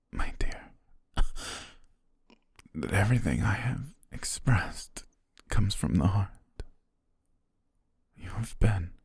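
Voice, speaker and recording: A man playing a possessive, lovestruck butler in an ASMR romance roleplay, speaking formally in a hushed, breathy voice. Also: deep voice, no echo, studio quality, full character voice. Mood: wounded, sorrowful devotion. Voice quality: husky, breathy, near-whisper. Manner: formal, ornate, hushed diction.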